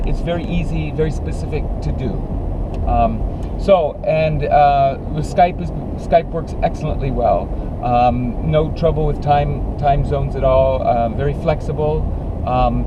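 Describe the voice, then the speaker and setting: A man's voice: a little high, lo-fi sound. Mature American male speech coach, noisy backdrop. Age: middle-aged or older. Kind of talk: conversational explanation in an interview.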